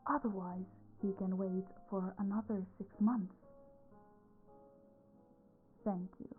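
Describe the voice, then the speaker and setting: A woman playing a possessive, teasing mafia boss in an intimate audio roleplay, commanding and often dropping toward breathy whispers. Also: mid-range voice, fairly even intonation, moderate pace, narrow, tinny audio, soft background music, lightly acted. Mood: cold, guarded.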